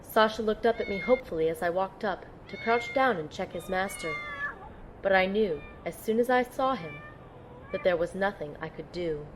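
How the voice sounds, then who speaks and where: Audio drama lead: woman as young female operative, determined, mid-pitched narration and dialogue, slight background noise. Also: expressive, moderate pace, lightly acted. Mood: hushed, sombre. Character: determined young female field medic and team operative narrating her mission.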